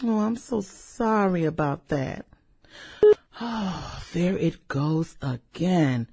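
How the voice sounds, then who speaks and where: An actress in a satirical radio drama playing a sultry, manipulative businesswoman with a theatrical, coquettish manner and breathy delivery. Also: fairly low pitch, lively intonation, a little mellow, heavily acted. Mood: flirty, teasing. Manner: theatrical, coquettish, drawn-out exclamations and giggles. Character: sultry, demanding, manipulative businesswoman juggling rich lovers.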